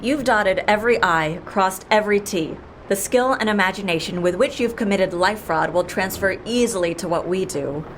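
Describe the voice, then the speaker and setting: A woman playing a brisk, persuasive and wry boss of a shady outfit in an audio drama. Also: mid-range voice, a little hiss or hum, natural voice, poor audio. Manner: persuasive, wry, matter-of-fact. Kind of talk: audio fiction drama acting.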